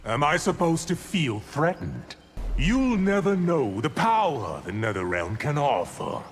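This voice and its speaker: A man playing an arrogant, scheming evil sorcerer in a video game, with haughty, theatrical, deliberate speech. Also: a little high, sing-song, a little hiss or hum. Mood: scornful menace. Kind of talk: video game villain acting. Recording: long hall reverb, music behind.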